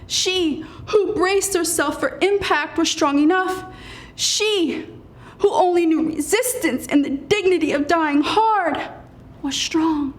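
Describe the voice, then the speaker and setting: A woman performing spoken-word poetry as a poet, in a solemn, deliberate manner with weighted pauses and dramatic delivery. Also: extremely high pitch.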